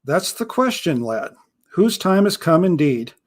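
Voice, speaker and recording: A man voicing a gruff, wary old male pirate with a rumbling baritone and a salty pirate-style accent, calling everyone lad. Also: sing-song, measured pace. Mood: grim. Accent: pirate-style rustic accent.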